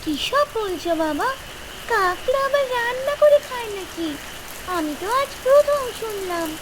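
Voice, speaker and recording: A woman voicing an eager, hungry little village daughter in a cartoon fairy tale, with childlike, drawn-out pleading. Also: very high-pitched, expressive, very noisy. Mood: puzzled. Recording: loud rain and thunder behind.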